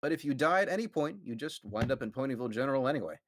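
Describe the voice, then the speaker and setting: A man narrates a story in a very dry, close recording, with an average-pitched voice. He reads as the narrator of a casual group reading and slips in amused asides to his friends. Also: expressive, very fast, no echo.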